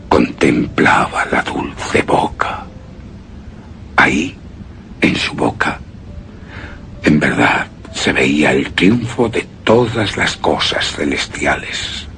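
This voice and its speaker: Obsessed gothic lover, very low voice, swooping intonation, reverent declamation, hushed emphases, radio suspense drama. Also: male voice, vocal fry, exaggerated voice. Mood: hushed adoration. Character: grave, obsessed man rapturously recalling his beloved's eerie beauty. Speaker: narrator of a horror story. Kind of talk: dramatic radio theatre monologue.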